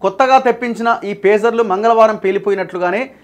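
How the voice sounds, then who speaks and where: A man's voice: sits high, fairly quick. A man with a Telangana accent reading news and explaining it emphatically, putting rhetorical questions to viewers. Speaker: news presenter.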